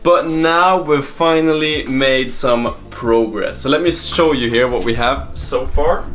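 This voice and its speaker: Man, casual slangy vlog host, slightly raised pitch, echoey room, thinking aloud, informal delivery. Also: fairly bright tone. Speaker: host of a startup coding video diary. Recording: echoey room, faint music behind.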